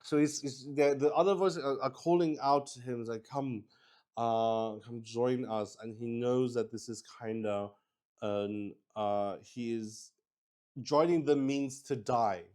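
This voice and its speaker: Slow-talking man with a clear, smooth voice. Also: mid-range voice, fairly even intonation, darkish tone. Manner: halting, thinking aloud while improvising. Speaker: solo tabletop roleplay host. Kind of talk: improvised narration of a solo tabletop roleplaying game.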